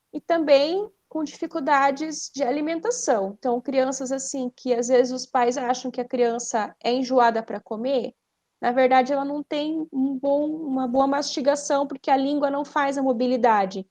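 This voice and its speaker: A woman's voice: high-pitched, normal speed, darkish tone. A woman with a Brazilian Portuguese accent, a speech therapist explaining conversationally as a podcast guest, didactic, posing questions and then answering them.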